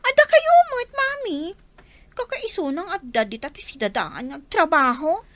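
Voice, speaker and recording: An actress playing a lazy, whiny young student in a Filipino radio drama, with a Filipino accent. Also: female voice, extremely high pitch, sing-song, normal speed, bright, forceful tone, full character voice. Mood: sulky, defiant.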